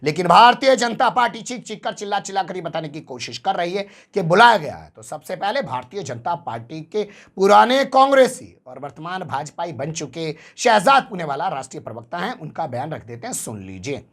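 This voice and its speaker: A man's voice: sits high, big pitch swings, a little fast, hardly any pauses, a bit bright. Sarcastic male news commentator. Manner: sarcastic, rhetorical questions to the viewer. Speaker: news channel presenter.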